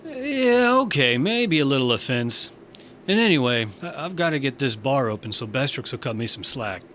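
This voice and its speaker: A man's voice: mid-range voice, very animated, normal speed, fairly bright tone, a little hiss or hum. A man playing an easygoing young Martian bar owner in a science fiction audio drama, talking casually with dry sarcasm.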